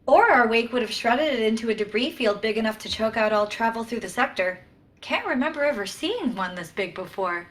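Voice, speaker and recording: A woman's voice: a little high, brisk. A woman playing an eager, quirky, chatty starship science officer in a sci-fi comedy play. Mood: geeky interest. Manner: excitable, chatty.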